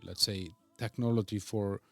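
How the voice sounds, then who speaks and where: A man's voice: lowish voice, fairly even intonation, moderate pace, creaky, fairly bright tone, no echo, faint music behind. A man from Iceland hosting a podcast in casual interview chat, speaking fluently and opinionatedly as he leads the questions. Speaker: podcast host.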